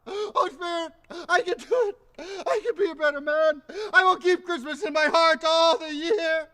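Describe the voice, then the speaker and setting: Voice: very high-pitched, fairly even intonation, normal speed, pushed and bright. A performer playing an old miser who begs a spirit for mercy and then rejoices, in a theatrical stage style. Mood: pleading. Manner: theatrical, pleading then exclaiming. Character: old miser, repentant and overjoyed. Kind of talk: stage acting of a classic tale.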